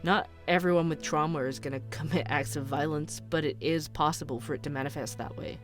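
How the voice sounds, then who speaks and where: An actor playing a thoughtful, inquisitive true-crime podcast host, narrating a case methodically. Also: male voice, on the high side, steady tone, fairly quick, a bit bright, soft background music, lightly acted. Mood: musing, analytical. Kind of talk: scripted investigative podcast narration.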